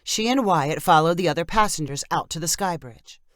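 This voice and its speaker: Woman narrating a short story, low voice. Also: animated, a little fast, no room sound, studio quality. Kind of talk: scripted short-story narration for English learners.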